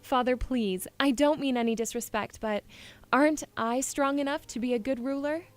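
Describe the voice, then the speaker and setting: A woman's voice: high-pitched, moderate pace, faint music behind, natural voice. A woman playing a headstrong, spirited young princess in a fantasy audio drama, earnest and pleading with a defiant streak. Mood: apologetic, pleading.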